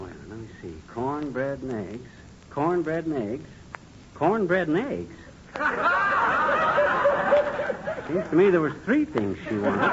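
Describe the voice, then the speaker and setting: Blustering folksy husband, noisy old radio. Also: male voice, on the high side. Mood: muddled, muttering. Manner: folksy, blustering, muttering to himself. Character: forgetful, boastful middle-aged husband in radio comedy. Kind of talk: 1940s radio comedy acting. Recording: dull, noisy 1940s radio recording.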